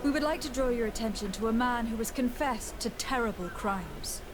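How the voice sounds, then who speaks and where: A woman's voice: on the high side, normal speed, over music, lightly acted. An actress voicing a poised, courteous young noblewoman envoy in a video game, formal and diplomatic.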